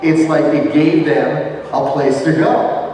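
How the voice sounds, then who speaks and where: A man's voice: on the high side, slow pace, dark tone. A man talking casually and enthusiastically in an unscripted interview. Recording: distant, very echoey hall sound.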